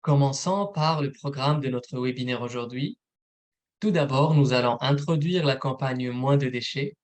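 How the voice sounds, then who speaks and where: A man, a program manager, presenting a recorded informational webinar in a steady, methodical read. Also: mid-range voice, normal speed.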